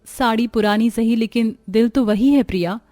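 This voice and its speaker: A woman's voice: fairly high pitch, normal speed, no echo, clean studio sound, natural voice. A woman voicing a humble, patient young wife and loyal friend in a moral cartoon story. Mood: humble, steady. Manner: gentle, contented, earnest.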